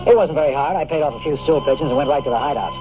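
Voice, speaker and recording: A hardboiled private eye, played by a man, rattling off dry wisecracks at a brisk clip in a slightly high male voice. He is cynical and flip, in the manner of 1950s radio detective shows. The old broadcast sounds muffled. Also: expressive, music in the background, natural voice. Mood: wry, narrating. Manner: flip, snappy, sardonic hardboiled delivery. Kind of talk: 1950s radio detective acting and first-person narration.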